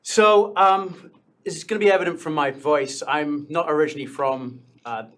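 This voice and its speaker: A man's voice: fairly high pitch, normal speed, fairly bright tone. A man, raised in London, speaking earnestly as a town board candidate at a local debate, with frequent fillers. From born in India, grew up in London. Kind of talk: debate answers.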